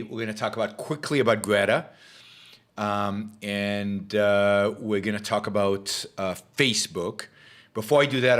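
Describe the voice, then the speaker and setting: A man hosting his talk show, hoarse from losing his voice, rambling with many fillers and restarts. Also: fairly low pitch, fairly bright tone. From Israel. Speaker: show host. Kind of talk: casual livestream talk.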